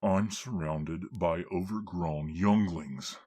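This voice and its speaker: An actor playing a stern, weary authority figure in a fantasy audio drama, formal and admonishing. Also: male voice, sits low, takes it slowly, dark tone, slightly rough, full character voice. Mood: exasperated.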